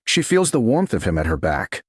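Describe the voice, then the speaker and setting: A man narrates a romance story in measured, dramatic phrases. His voice sits low, with very animated swings in pitch. The recording is dry and close, with no room sound. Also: breathy edge, no room sound. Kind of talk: scripted audiobook narration of a romance.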